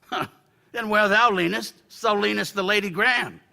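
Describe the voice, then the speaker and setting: An actor playing a blunt, wry middle-aged newspaper editor in a radio drama. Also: male voice, high-pitched, moderate pace, creaky, big-room sound, over music.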